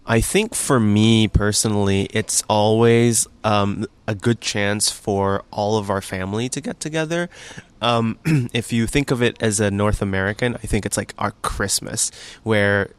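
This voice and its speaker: A young man from Indonesia answers interview questions in an easygoing, friendly way. His voice is smooth and clear, sitting slightly low. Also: steady tone, unhurried. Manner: friendly, easygoing, with many ums and laughs. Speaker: graduate student. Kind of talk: casual interview.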